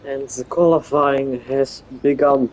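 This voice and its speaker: A Dutch-speaking man commentating a live-streamed online race league in English, halting and searching for words with many fillers. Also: mid-range voice, fairly even intonation, darkish tone, poor audio. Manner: calls himself a bit boring-voiced.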